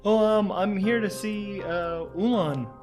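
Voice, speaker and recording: A man plays a flustered young rookie starship pilot with a raised voice. He stammers apologetically and haltingly, as in a sci-fi audio drama, over background music. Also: high-pitched, voice pushed up. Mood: tentative.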